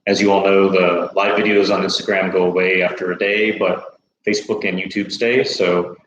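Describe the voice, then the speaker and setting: An American man hosting a casual livestream Q&A, relaxed and chatty, laughing easily. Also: sits low, moderate pace, roomy sound, highs cut off, slight background noise. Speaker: host.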